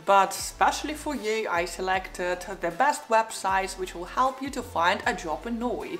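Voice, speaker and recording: Animated woman vlogging in an echoey room. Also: medium pitch. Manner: earnest, animated, gesturing hands while talking. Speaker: channel host giving relocation advice. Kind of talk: direct-to-camera advice vlog. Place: living room indoors, lamp and sofa behind. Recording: roomy echo in a home room.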